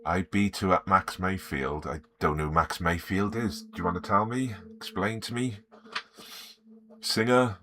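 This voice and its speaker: Croaky deep-voiced man, bright tone. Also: measured pace, a little hiss or hum. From Wales. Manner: easygoing, greets viewers by name, self-deprecating. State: croaky sore throat. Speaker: artist streaming live. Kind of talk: relaxed live-stream chat while drawing.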